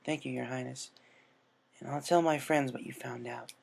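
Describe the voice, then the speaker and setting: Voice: medium pitch, fairly even intonation, moderate pace, creaky, darkish tone. A narrator voicing an earnest, subdued young female pegasus pony who talks casually and hesitantly, in a story reading.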